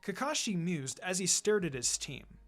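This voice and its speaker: A man's voice: high-pitched, sing-song, moderate pace. A man reading fan fiction aloud as a scripted story narrator. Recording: music behind the reading.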